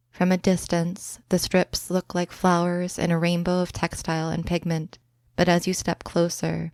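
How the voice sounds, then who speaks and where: A woman reads a guided imagery meditation in a slow, soft, soothing way. Her voice sits low and even, smooth and clear. The recording is close and dry, with no room sound. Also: no room sound. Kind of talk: scripted guided imagery narration.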